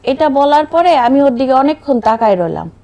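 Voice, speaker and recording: A woman's voice: high-pitched, lively intonation, moderate pace, mellow. A middle-aged woman with a Bangladeshi accent, an interview guest telling a personal story conversationally and quoting remembered dialogue. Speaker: interview guest, bereaved mother.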